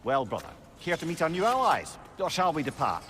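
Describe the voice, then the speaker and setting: Old game sage, wry, wordy, animated intonation, faint background noise. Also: male voice, on the high side, brisk. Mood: wry, probing. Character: witty, talkative old sage, loyal companion. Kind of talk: video game character acting.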